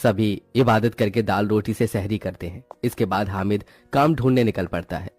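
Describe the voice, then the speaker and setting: A man's voice: fairly low pitch, normal speed, a little mellow, no echo, faint music behind. A man reading scripted narration for a moral story.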